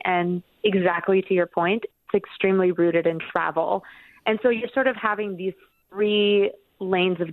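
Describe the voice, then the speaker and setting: A woman's voice: fairly low pitch, no room sound. A woman from Colombia, an author answering questions as an interview guest in conversational style over a phone-like line. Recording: tinny phone-like radio line.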